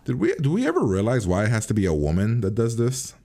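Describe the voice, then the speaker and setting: A man's voice: medium pitch, huge pitch swings, fast, vocal fry, warm tone. A man hosting a reaction video in a casual, blunt way, swearing now and then.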